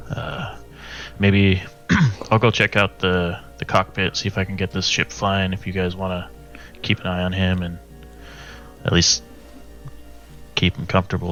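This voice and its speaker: A man's voice: low-pitched, bright, forceful tone. A man playing in a tabletop game, speaking casually for his character, on a dull recording. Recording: dull, lo-fi sound, faint music.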